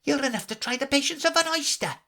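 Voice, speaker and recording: A man's voice: very high-pitched, fast talker, gravelly, sharp tone, pitched above the performer's own voice, heavily acted. A man voicing a snappish young female crab in a storybook reading.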